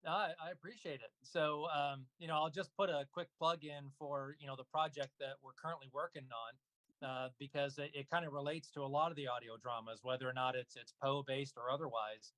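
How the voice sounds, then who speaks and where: A man speaking casually as an interview guest about his work, halting with frequent pauses and asides. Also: mid-range voice, fairly even intonation, a bit bright, no room sound, low-quality recording. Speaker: guest, voice actor and audio drama producer. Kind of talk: casual interview talk about own projects.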